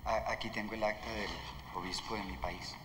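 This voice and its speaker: A man's voice: lowish voice, steady tone, fairly quick, natural voice. A middle-aged actor with a Colombian accent playing a humble, earnest father in a film, speaking politely and deferentially. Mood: respectful, earnest. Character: humble, earnest Colombian father seeking his daughter's sainthood. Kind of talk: naturalistic film acting. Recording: echoey reverberant halls with faint noise.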